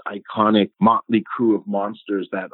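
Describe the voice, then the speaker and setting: A man in his forties from Los Angeles speaks in a fairly low voice, telling his story earnestly as an interview guest. He often stumbles and repeats himself at the start of his sentences. His voice comes through a tinny, phone-like line that sounds dry and close. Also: level tone, normal speed, no room sound. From Los Angeles, United States. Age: 40s. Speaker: film director, guest. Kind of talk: interview answers over a remote line.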